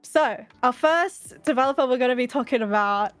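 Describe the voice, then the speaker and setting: Aussie woman doing a chatty informal fan panel, highish voice, dry close sound, faint music behind. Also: moderate pace, clean tone, no echo. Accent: Australian. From Australia. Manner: informal, sweary, peppered with "like". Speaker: convention panel presenter. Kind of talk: casual fan talk with slides. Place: indoors, virtual convention presentation.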